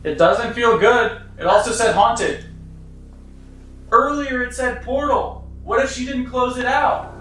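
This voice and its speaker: A man's voice: very high voice, steady tone, unhurried, fairly bright tone, a little hiss or hum. A man on a paranormal investigation team, uneasy as he reports what his devices are picking up. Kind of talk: ghost-hunting commentary. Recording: roomy echo, faint music.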